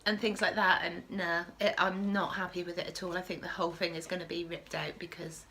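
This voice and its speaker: A woman's voice: on the low side, level tone, a little fast, sharp tone. A woman hosting a casual knitting podcast from home, sniffling with a starting cold and rambling with frequent self-corrections. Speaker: podcast host.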